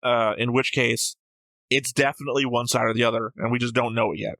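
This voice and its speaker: A man with a bright, forceful voice in a casual debate about a show. He argues his points analytically and swears casually. The sound is very dry. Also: medium pitch, fairly even intonation, fairly quick, vocal fry, no echo. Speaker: host of an anime reaction show.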